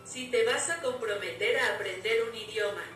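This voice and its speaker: A higher-pitched woman talks through a wry, opinionated commentary. She sounds set back from the mic in a roomy space. Loud music plays behind her voice the whole time. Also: normal speed, far from the mic. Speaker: language learning vlogger. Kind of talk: scripted voice-over commentary.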